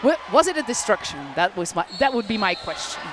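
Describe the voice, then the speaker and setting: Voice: medium pitch, sing-song, fairly quick, a loud crowd, very noisy. Roller derby commentator. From Belgium. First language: Dutch. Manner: chatty, self-deprecating, laughs often. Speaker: play-by-play commentator. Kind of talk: live sports commentary.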